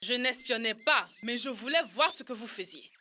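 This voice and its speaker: A woman's voice: on the high side, lively intonation, moderate pace, sharp tone, slight background noise. A woman voicing a proud, earnest, brainy little boy in an educational cartoon. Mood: eager. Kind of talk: dubbed educational cartoon acting. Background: music.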